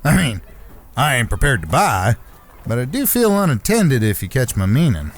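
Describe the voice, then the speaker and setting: A man's voice: medium pitch, big pitch swings, normal speed. An actor playing a hot-headed, foul-mouthed younger-brother cowboy, crude and folksy in his complaints, in a Western audio drama. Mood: blunt, bold.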